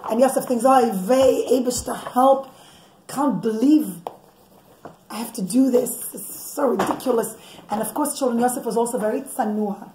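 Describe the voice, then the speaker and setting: A woman's voice: fairly high pitch, moderate pace. A middle-aged woman telling a story to children, explaining as she goes and acting out what characters think. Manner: explanatory, addresses listeners as children, acts out characters' thoughts. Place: indoors, living or dining room at home. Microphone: camera or phone on the table, about a metre away. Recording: echoey room, background noise, clicks.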